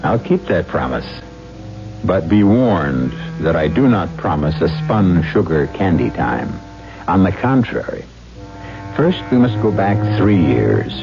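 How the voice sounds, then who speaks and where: A grave, measured storyteller of 1970s radio mystery. His delivery is portentous and swings dramatically in pitch. The old broadcast sounds dull, with music clearly under him. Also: big pitch swings, full character voice. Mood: ominous, dramatic.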